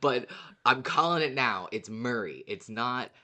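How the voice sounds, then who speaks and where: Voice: medium pitch, lively intonation, unhurried, bright, forceful tone. A podcast co-host talking casually in a fan discussion.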